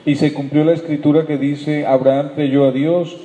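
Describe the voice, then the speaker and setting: Dark-voiced man reading scripture. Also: on the high side, level tone, moderate pace, highs cut off. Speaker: congregation member reading scripture. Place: church hall. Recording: echoey hall from off-mic.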